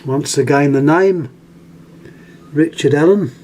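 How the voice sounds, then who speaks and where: British man, unhurried halting demonstrator, slightly higher-set voice, informal show-and-tell on a camera mic. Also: darkish tone, slight background noise. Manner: halting, pausing mid-phrase while handling things. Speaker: vintage radio collector presenting an item. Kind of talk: casual hands-on demonstration. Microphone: handheld camera, close to the item.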